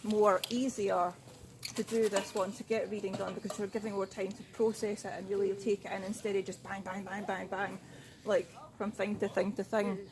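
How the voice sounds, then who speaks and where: A woman's voice: medium pitch, level tone, moderate pace, background noise. A young woman student interviewing her classmates in a casual, blunt way, swearing freely. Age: young adult. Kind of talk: casual chat.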